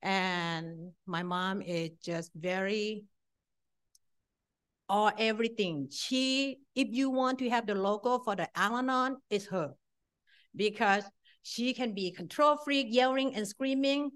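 A woman's voice: mid-range voice, takes it slowly, a bit bright. Blunt middle-aged woman with Thai accent. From Thailand. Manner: blunt, self-deprecating, short punchy phrases. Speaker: speaker at a support-group meeting. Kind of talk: informal personal testimony.

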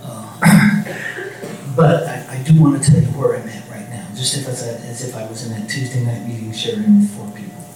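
A middle-aged man with a low voice giving a halting, soft-spoken personal share to a meeting, with self-deprecating humor, speaking into a handheld microphone in a meeting room. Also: steady tone. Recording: echoey room, distant mic, faint music.

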